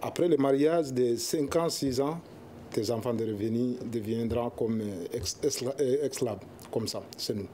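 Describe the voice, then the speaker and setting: A young man, an Arabic speaker talking in French as an association spokesman on a studio panel, halting and searching for words. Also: mid-range voice, mellow, slight background noise. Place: television studio.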